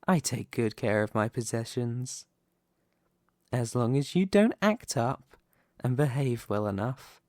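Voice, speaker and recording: A man playing a possessive, scheming young mafia boss in an ASMR roleplay, speaking in a deliberate, condescending, theatrical way. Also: mid-range voice, no room sound, clean studio sound, close to an everyday voice.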